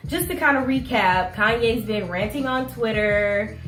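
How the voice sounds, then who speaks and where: A woman co-hosting a casual chat show, stuffy from a cold, laughing often and talking in an animated way. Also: fairly high pitch, a little slow, roomy sound, soft background music. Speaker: co-host.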